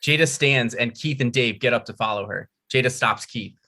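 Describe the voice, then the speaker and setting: A man's voice: medium pitch, moderate pace, pushed and bright. A man reading screenplay stage directions as scripted narration.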